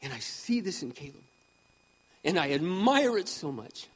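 A man around sixty preaching a sermon with impassioned emphasis, swinging between loud peaks and quiet asides. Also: a little high, very animated, moderate pace, husky, big-room sound, rough recording. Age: 60s. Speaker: pastor.

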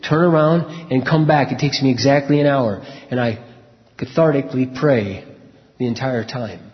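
A man preaching a sermon and telling personal stories in a frank, conversational way, often clearing his throat. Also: mid-range voice. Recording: echoey hall, dull lo-fi sound.

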